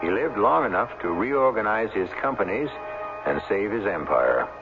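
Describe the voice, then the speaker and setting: A man's voice: on the low side, big pitch swings, moderate pace. Vintage radio mystery host: man, formal and theatrical, widely varied intonation, narrow broadcast sound over music. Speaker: host and narrator. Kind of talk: theatrical scripted radio narration. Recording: tinny 1970s radio broadcast, music behind.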